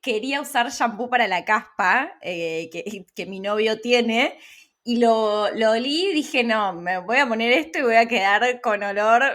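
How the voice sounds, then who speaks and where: A woman's voice: a little high, unhurried, fairly bright tone. A woman with a Rioplatense Argentine accent hosting a casual conversational interview, phrasing things informally and a little hesitantly. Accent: Rioplatense Argentine Spanish. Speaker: interviewer, host.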